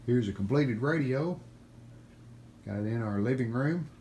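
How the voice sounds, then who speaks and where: A man with a mid-range voice hosts a do-it-yourself video. He narrates the hands-on work casually and plainly, without a script, often pausing partway through a sentence. Noticeable background noise and room ambience sit behind his voice. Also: medium pitch, expressive, warm tone. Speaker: host of a radio restoration video channel.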